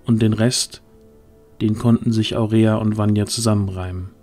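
A man's voice: fairly low pitch, steady tone, moderate pace, dark tone, soft background music. A man narrating a scripted fantasy story as the narrator of an audio drama.

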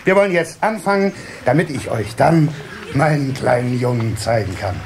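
A man's voice: medium pitch, lively intonation, normal speed, close to an everyday voice. An actor playing a proud, hospitable water-sprite father in a children's audio play, hearty and ceremonious.

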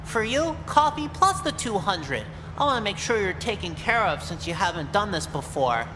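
A woman's voice: medium pitch, sing-song, moderate pace, lightly acted. A woman voicing a creepy, overeager, awkward nerdy young man in a visual novel game, with a fawning manner. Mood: eager, persuasive. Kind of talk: voiced visual novel dialogue. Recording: long hall reverb, clear background noise.

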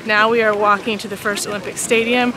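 A woman's voice: mid-range voice, level tone, brisk, a crowd in the background, low-quality recording. A woman in her thirties from the United States, recapping a walking tour in a chatty, self-deprecating way, filming herself at arm's length outdoors. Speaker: travel vlogger. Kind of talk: casual travel vlog narration. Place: outdoors in a city park and plaza. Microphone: camera held at arm's length. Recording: outdoor city noise behind.

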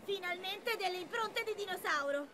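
A woman's voice: very high voice, moderate pace. A woman voicing a boastful, stubborn, mischievous young boy in a children's cartoon, with cocky, theatrical delivery. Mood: scheming, cocky. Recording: loud music under the voice.

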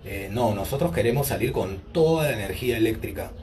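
A man's voice: medium pitch, a little hiss or hum. Hesitant Peruvian man chatting outdoors. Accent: Peruvian Spanish. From Peru. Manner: relaxed and unhurried, with frequent hesitant "eh" fillers. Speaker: singer answering fans. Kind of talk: casual live chat with fans. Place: outdoors in bright sun by a glass building. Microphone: phone held at arm's length, wireless earbuds.

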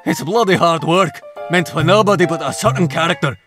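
A man with a Scottish accent playing a rough, proud, foul-mouthed cartoon demolition man. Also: a little high, fairly quick, music in the background, natural voice. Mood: gruff pride. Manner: rough, boastful.

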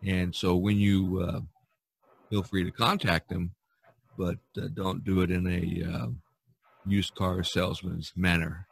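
A man hosting an artists' podcast over a video call, rambling and halting with many fillers. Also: low voice, little pitch movement, creaky, breathy edge. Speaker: podcast host. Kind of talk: casual video-call chat.

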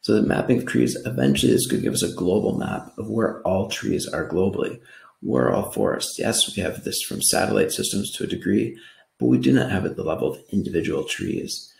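An American man from New York giving an earnest, explanatory slide presentation pitch in an echoing room. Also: sing-song, a little fast, creaky. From New York, United States. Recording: roomy, echoing voice recording.

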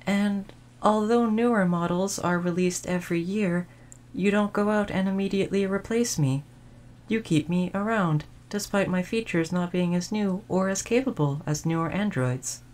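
Woman voicing a female android servant for audio roleplay; courteous, precise wording, caring temper, mid-pitched. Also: darkish tone, natural voice. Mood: earnest, reflective. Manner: formal, polite, measured phrasing. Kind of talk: audio roleplay addressed to the listener.

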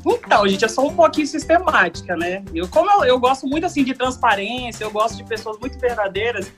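A woman's voice: fairly high pitch, animated, fast talker, soft background music. A woman volleyball player answering an interview frankly, giving her opinions in a slightly rambling way into a handheld mic.

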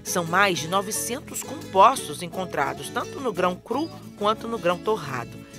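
Woman narrator, steady instructional read, music bed clearly audible. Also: medium pitch, moderate pace. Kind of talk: scripted voice-over narration. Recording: music under the voice.

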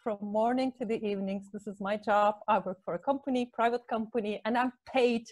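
A woman's voice: on the high side, a little fast, no room sound. A woman, a cycling advocate chatting animatedly on a video call through an earphone headset. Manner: animated, many fillers. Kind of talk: casual panel chat. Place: home office on video call.

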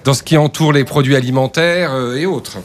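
A man's voice: medium pitch, a little fast. A man hosting a live radio news interview, hesitating and repeating words as he forms his questions. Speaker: radio host.

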